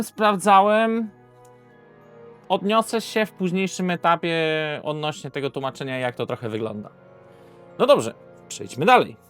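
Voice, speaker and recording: A Polish man with a slightly high-pitched voice talks through a video review for viewers. His delivery is unscripted and informal, with hesitant pauses and frequent self-corrections. Music plays behind him. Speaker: board game reviewer presenting to viewers.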